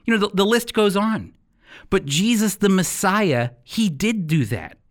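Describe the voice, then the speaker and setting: An American man teaching the Bible like a radio preacher, with emphatic, deliberate phrasing and rhetorical questions. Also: sits high, very animated. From United States. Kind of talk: sermon-style Bible teaching with scripture reading.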